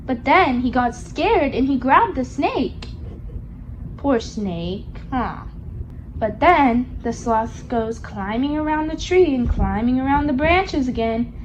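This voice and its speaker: A woman's voice: sits high, animated, slow, dull audio, a little hiss or hum. A woman reading a picture book aloud to a young child, coaxing along with questions in a sing-song, child-directed way. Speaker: storybook reader for a young child.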